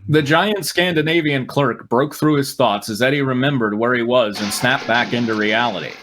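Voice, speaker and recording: A man hosting a comedy reading of fan fiction, narrating aloud and breaking off for sarcastic, animated asides. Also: mid-range voice, normal speed, a little hiss or hum. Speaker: host and narrator.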